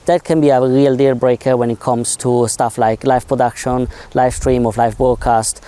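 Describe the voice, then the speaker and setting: A man's voice: medium pitch, fairly even intonation, normal speed, mellow, clear, resonant tone. A man giving a casual, informal technical explanation as a co-reviewer of film gear.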